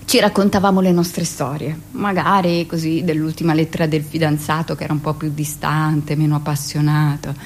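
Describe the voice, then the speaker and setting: A woman's voice: low-pitched, level tone, a little hiss or hum, natural voice. A woman playing a brusque, gossipy middle-aged hospital midwife who tells her memories in a theatrical, conversational radio monologue. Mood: wistful, confiding. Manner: conversational, dramatic pauses, shifts into mimicked speech. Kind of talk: theatrical first-person monologue, confiding storytelling.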